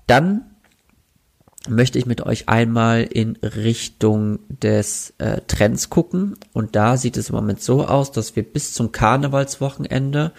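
A man with a cold, his voice congested and sniffling, giving a relaxed, chatty weekend weather forecast. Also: medium pitch, vocal fry. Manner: relaxed, chatty, with filler hesitations. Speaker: weather presenter. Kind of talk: weekly weather forecast, casual address.

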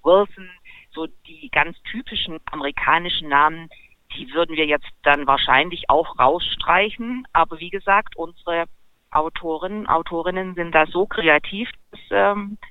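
A man's voice: a little high, moderate pace, clean tone, no room sound. A man chatting casually as a caller over a telephone. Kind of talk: casual chat. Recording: tinny, dry phone line.

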